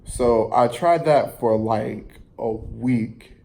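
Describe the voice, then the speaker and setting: Guy telling a story off the cuff, kinda slow n rambly, soft mellow tone, backs up and rewords stuff. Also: mid-range voice, vocal fry. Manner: halting and rambling, rephrases and repeats himself. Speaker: storyteller vlogger. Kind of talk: casual personal storytelling.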